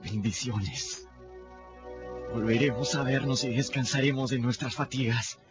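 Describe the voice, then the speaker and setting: An actor playing a tender condemned husband, speaking gently and solemnly in a radio drama. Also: male voice, mid-range voice, a little slow, lightly acted. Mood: tender, resigned. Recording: dull radio recording with music.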